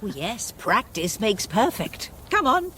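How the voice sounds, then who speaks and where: A loyal middle-aged friend in a radio drama. Her mid-pitched voice is very mobile, fussing and fretful, with a dry edge and a reassuring streak. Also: sing-song, unhurried, pushed and bright, slight background noise. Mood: encouraging. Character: fussing, loyal, long-suffering woman friend of a star. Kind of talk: radio play acting.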